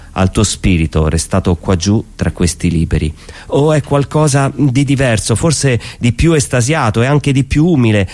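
A man giving a theatrical poetry recitation, solemn and declamatory, with deliberate pauses between phrases. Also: on the low side, a little mellow. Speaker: stage performer reciting poetry.

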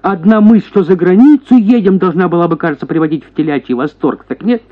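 An actor voicing a henpecked prosecutor, a self-pitying husband who grumbles and exclaims theatrically in a radio story. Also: male voice, high-pitched, fairly quick, highs cut off, voice pushed up, close to an everyday voice. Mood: exasperated complaining. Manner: plaintive grumbling that bursts into excited exclamations.

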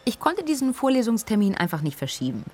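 A woman's voice: fairly high pitch, sing-song, fast talker, darkish tone. An actress playing a defensive, uneasy young female student in a radio drama, speaking matter-of-factly.

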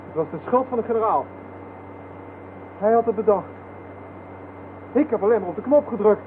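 An actor playing a menacing, cynical soldier in a radio play, taunting and offhand by turns. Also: male voice, high-pitched, normal speed, natural voice. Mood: cynical, evasive. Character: menacing, cynical male soldier interrogating his superior. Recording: noisy, thin phone-like old radio recording.

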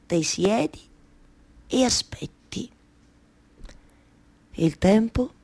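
A woman's voice: medium pitch, expressive, slow, vocal fry, warm tone, full character voice. An actress playing a melancholy, wry waiting woman in a theatrical monologue, with a Roman Italian accent and repetitive, colloquial storytelling. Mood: wry, animated. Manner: incantatory repetition, shifting from declaiming to intimate colloquial storytelling.

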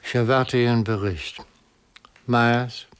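A man's voice: mid-range voice, steady tone, takes it slowly, clear, resonant tone, close to an everyday voice. A man acting in a German radio drama as a cold, genteel crime boss who speaks in formal, terse commands. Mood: cold, commanding.

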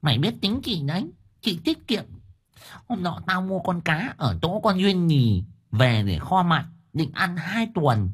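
A woman's voice: fairly low pitch, very animated, unhurried, husky, heavily acted. A woman playing a coarse, talkative village wife who complains bluntly about her husband in an audio story. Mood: grumbling. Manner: blunt, exclamatory, colloquial complaining. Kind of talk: dramatized audio story dialogue.

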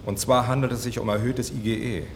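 A man's voice: medium pitch, steady tone, brisk, vocal fry, a little hiss or hum, lightly acted. A man acting an eager, impatient hospital doctor in a German radio drama. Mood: insistent, explaining. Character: man, eager hospital doctor defending his allergy theory.

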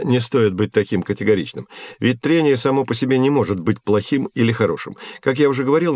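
A man's medium-pitched voice acting as a learned, patient mentor in an educational audio play. He explains in a measured, didactic manner. The recording is dry and close. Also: dry recording, lightly acted. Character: patient, learned adult science mentor Vladimir Sergeyevich. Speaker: teacher figure. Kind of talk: scripted educational audio drama.

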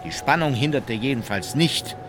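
An actor playing a composed court officer, narrating his memoirs in a measured, formal way for a radio documentary drama. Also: mid-range voice, background noise, close to an everyday voice. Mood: factual.

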